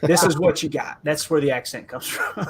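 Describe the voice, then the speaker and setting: A man's voice: on the high side, moderate pace, crowd noise. A man from southeastern Kentucky with an Appalachian accent, a podcast guest chatting casually and with self-deprecating humor. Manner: self-deprecating, rambling with repeated words. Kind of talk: casual chat.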